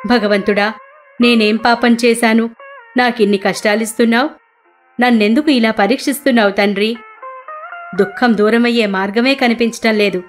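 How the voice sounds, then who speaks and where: A woman's voice: on the high side, fairly even intonation, normal speed, pauses often, faint music behind, close to an everyday voice. A woman voicing an anguished, devout poor male auto-rickshaw driver in a plaintive, beseeching way for a cartoon story. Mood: sorrowful, reproachful.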